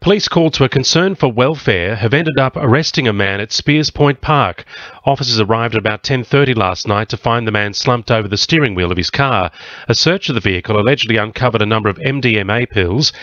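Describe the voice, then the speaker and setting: A man's voice: mid-range voice, a little fast, fairly bright tone, no room sound, highs cut off. A middle-aged man with an Australian accent reading scripted radio news at a studio microphone. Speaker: radio newsreader. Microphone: close studio broadcast microphone with headphones.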